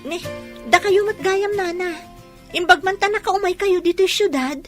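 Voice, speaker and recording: Woman playing a very high-voiced young maiden. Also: moderate pace, soft background music. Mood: welcoming. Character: polite, bashful young village woman. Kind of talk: radio comedy drama dialogue.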